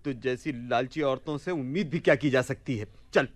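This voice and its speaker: A man playing a deluded, haughty and excitable young man in a theatrical radio play. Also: mid-range voice, fairly quick, dry recording, close to an everyday voice. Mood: haughty, indignant. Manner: indignant, pompous, excitable.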